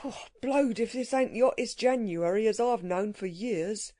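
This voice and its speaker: A woman voicing a rough working-class male burglar, talking in slangy, grumbling dialect. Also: on the high side, moderate pace, dark tone, no room sound, natural voice. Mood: wary.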